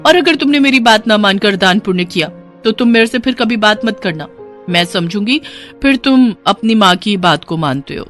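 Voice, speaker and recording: Woman acting a shrill, stingy young daughter-in-law. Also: expressive, normal speed, fairly bright tone, soft background music, lightly acted. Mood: angry, demanding. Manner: scolding, emphatic, theatrical. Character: stingy, sharp-tongued young Indian daughter-in-law, demanding wife.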